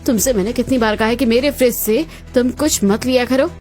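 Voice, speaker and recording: A woman's voice: on the high side, brisk, darkish tone, close to an everyday voice. A woman playing a selfish, bossy elder daughter-in-law in a cartoon story. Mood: angry, scolding.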